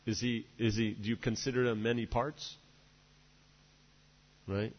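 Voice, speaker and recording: A man's voice: medium pitch, little pitch movement, measured pace. A man giving a devotional lecture, rambling along and checking in with "right?" and "you know" between his points. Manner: discursive, many "you know" and "right?" asides. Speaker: spiritual teacher. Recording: dull reverberant hall recording.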